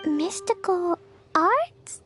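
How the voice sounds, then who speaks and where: A woman voicing a sweet, innocent, excitable little girl in an anime fantasy game, childlike and eager. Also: very high-pitched, extremely slow, heavily acted. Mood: puzzled, innocent. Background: background music.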